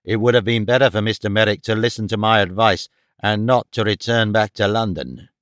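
A man acts out a gruff, bigoted father and trainer in an audiobook. His voice sits low and clean. He snaps out curt, indignant orders like someone used to being obeyed. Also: fairly quick, a bit bright, no room sound, studio quality, close to an everyday voice. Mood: angry, scornful. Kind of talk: audiobook character dialogue.

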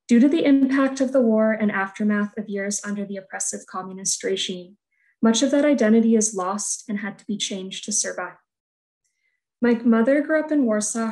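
A woman whose first language is Polish, reading a scripted artist talk about her work in a soft, measured, reflective way over earbuds on a video call. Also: mid-range voice, low-quality recording. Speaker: art student presenting her thesis work. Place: room at home, indoors.

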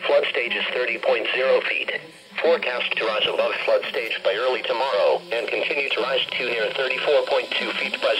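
A man's voice: medium pitch, bright, forceful tone, poor audio. Synthetic male weather radio announcer. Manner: synthetic, measured, formal. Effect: weather radio speaker. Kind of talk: automated emergency weather bulletin. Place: carpeted room in a home. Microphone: camera held near the radio. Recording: radio speaker picked up by handheld camera.

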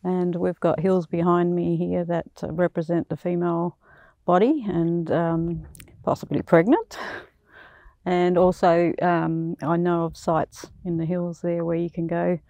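A woman's voice: lowish voice, steady tone, measured pace, dark tone, no room sound, dull audio. An older woman with an Australian accent speaking reflectively and unscripted in an interview on location. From Western Australia. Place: outdoors in dry bushland. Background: faint music.

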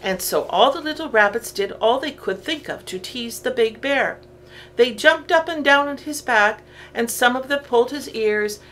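A woman with a fairly high voice reads a children's storybook as narrator. Her delivery is deliberate and expressive. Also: poor audio. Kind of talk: scripted children's story reading.

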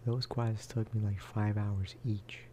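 A man giving a relaxed, casual ASMR gameplay commentary in a soft, breathy whisper, close to the microphone. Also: on the low side, a little slow, slight background noise. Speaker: video game streamer and host.

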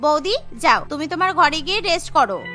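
A woman as very high, girlish cartoon sister-in-law. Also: big pitch swings, fast talker, music in the background. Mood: concerned, impatient. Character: sleepy, cheeky young sister-in-law, girlish and complaining. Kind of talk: cartoon voice acting.

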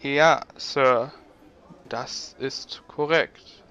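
A man's voice: mid-range voice, extremely slow, fairly bright tone, smooth. A man playing an exasperated, incredulous young stallion on trial in a comedy audio drama, on a dull microphone. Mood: meek.

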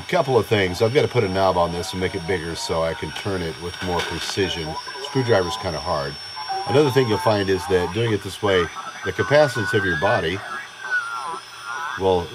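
Low-pitched American man, folksy tutorial talk. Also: normal speed, heavy background noise. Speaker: hobbyist host of electronics tutorial. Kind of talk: informal hands-on tutorial talk. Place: indoors at a home electronics workbench.

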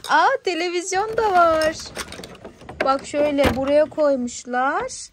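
A woman with a high voice talks at an unhurried pace to a little child. She is playful and encouraging and keeps asking questions as in a toy unboxing. There is faint noise and some clicking in the home recording. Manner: encouraging, question-filled, child-directed talk. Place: indoors on a living-room sofa.

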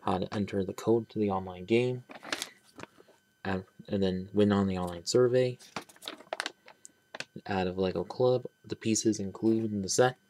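A man giving a casual, rambling toy review, pausing and restarting often as he handles the pieces. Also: fairly low pitch, steady tone, dark tone, slight background noise. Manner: rambling, halting, many restarts and trailing "but yeah". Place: small indoor room at a table. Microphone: camera close on hands, speaker off-frame.

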